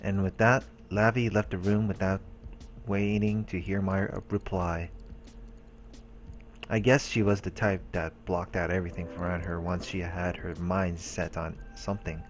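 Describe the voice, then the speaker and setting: A man's voice: low-pitched, little pitch movement, unhurried. A man hosting a let's play, reading game narration aloud and adding casual commentary. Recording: clear game music behind the voice. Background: visual novel music.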